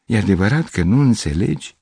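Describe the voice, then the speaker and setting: A man voicing a calm young woman who speaks softly and coaxes gently in a storybook reading. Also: lowish voice, gravelly, slightly rough, no echo, voice pushed up, heavily acted. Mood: calm coaxing.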